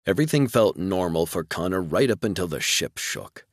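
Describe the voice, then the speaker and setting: A man's voice: low voice, animated, moderate pace, no room sound, clean studio sound. A man reading scripted narration for a military science fiction audiobook.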